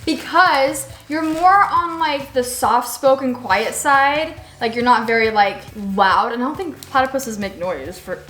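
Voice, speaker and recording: A young woman with a bright, high voice chats casually at home as co-host, bubbly and quick to laugh. Her voice rings a little in an echoey room. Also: animated. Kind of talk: casual chat.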